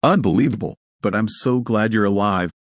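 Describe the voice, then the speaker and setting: A man's voice: on the low side, a little fast, dry recording, clean studio sound, close to an everyday voice. Man voicing exasperated space station worker. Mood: urgent, astonished. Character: bewildered, frustrated young male space station worker.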